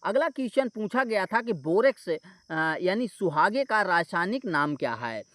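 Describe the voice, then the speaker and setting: A man's voice: sits high, big pitch swings, normal speed, a bit bright, no echo, clean studio sound. A man explaining exam questions and answers as a coaching teacher, restating points and checking in with listeners. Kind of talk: explanatory exam solution walkthrough.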